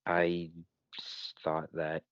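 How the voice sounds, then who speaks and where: A man with a low voice talks casually as a player in a tabletop game, feeling his way through loose, rambling explanations. The sound is dry and a bit dull, with very little room in it. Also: monotone, drawn-out words, clean tone, no room sound. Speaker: player in a tabletop role-playing campaign. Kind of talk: casual chat.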